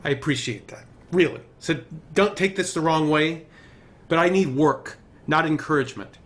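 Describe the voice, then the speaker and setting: Actor as a higher-voiced, frustrated struggling writer. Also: male voice, normal speed, a bit bright, lightly acted. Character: frustrated, out-of-work male television writer seeking work. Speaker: actor in a screenplay table read. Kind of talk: naturalistic screenplay table-read acting.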